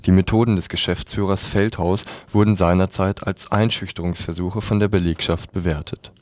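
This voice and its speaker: A man's voice: low-pitched, steady tone, moderate pace, darkish tone, no room sound. A man reading scripted news reports on the radio.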